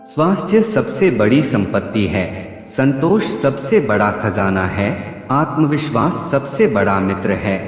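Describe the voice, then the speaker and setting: A male narrator reads motivational sayings in measured short phrases. His pitch moves in lively, expressive swings. The voice rings with a long, hall-like echo, and music plays behind it. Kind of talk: scripted motivational quote narration. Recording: echoey hall reverb with background music.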